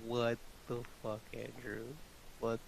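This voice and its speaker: A man's voice: mid-range voice, completely flat delivery, takes it slowly, gravelly, a little hiss or hum, poor audio. A man chatting casually as he directs and edits voice recordings, speaking informally and often saying "like". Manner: informal, swearing, often says "like". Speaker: voice-over director and audio editor. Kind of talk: casual collaborative work chat.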